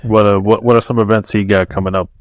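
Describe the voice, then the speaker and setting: A man's voice: fairly low pitch, flat delivery, quick pace, dry recording. A man from Mexico, a podcast host, talking loosely and profanely with plenty of laughter. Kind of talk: casual chat.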